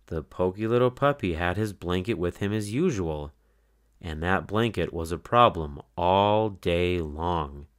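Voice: low voice, a bit bright. A narrator reading a children's picture book aloud in a scripted storytelling style.

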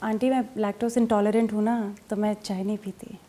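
A woman's voice: mid-range voice, flat delivery, a little fast, mellow, natural voice. An actress playing a polite, hesitant young woman who mixes English into her talk. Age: young adult. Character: nervous young woman meeting boyfriend's mother. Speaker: girlfriend. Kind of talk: scripted short-film acting.

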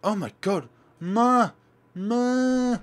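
A man voicing an earnest, excitable high school girl in a visual novel. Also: drawn-out words, exaggerated voice. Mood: alarmed.

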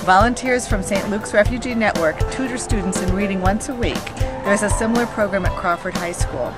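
A woman reads a prepared narration at a middle pitch in an earnest, even way. Music plays loudly underneath her voice the whole time. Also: fairly quick. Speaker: volunteer documentary narrator.

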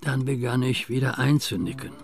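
An actor playing an earnest, protective old country neighbour in a radio play, speaking in a measured, deliberate way. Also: male voice, mid-range voice, faint music behind, close to an everyday voice. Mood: grave, weary. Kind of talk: radio play acting and first-person narration.

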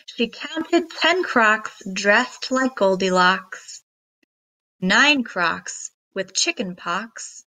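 Bright-voiced woman reading a picture book. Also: mid-range voice, animated, drawn-out words, low-quality recording. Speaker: read-aloud narrator. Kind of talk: children's picture book read-aloud.

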